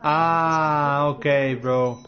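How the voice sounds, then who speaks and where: Slow, slangy man. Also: fairly high pitch, fairly even intonation, dull audio, crowd noise. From Romania. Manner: slangy, repeats "bro", broken English. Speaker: gamer streamer. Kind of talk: casual gaming chat.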